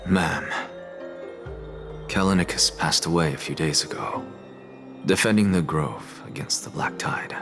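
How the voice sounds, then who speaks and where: A proud, cool-headed scholar from a fantasy game. The voice is low and deep, measured and dry, with music playing clearly behind it. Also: male voice. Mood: solemn. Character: proud, sardonic male scholar, cool and composed. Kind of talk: video game voice acting.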